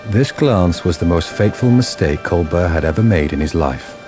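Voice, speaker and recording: A man playing the narrator of a crime radio drama, delivering scripted story in a measured, deadpan manner. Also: low-pitched, music in the background.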